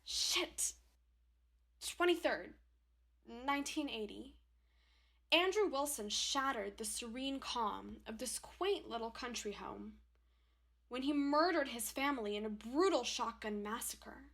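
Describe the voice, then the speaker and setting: An overdramatic woman hosting a paranormal podcast in a radio drama. Her voice is on the high side and swings widely in pitch. She is theatrical and self-important, yet easily flustered. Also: animated, unhurried, a bit bright, heavily acted. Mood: ominous, theatrical.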